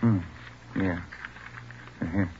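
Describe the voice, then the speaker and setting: An actor playing a plain-spoken American detective partner, steady and dry, in 1940s radio crime drama style. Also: male voice, low voice, takes it slowly. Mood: dry, easygoing. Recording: muffled 1940s radio, slight noise.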